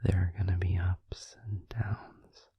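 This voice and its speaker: A man playing a gentle, supportive vampire boyfriend in an intimate ASMR roleplay, in a breathy, soothing whisper with pauses. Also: sits low, level tone, dry recording, full character voice. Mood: tender, reassuring. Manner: soft, soothing, hesitant pauses.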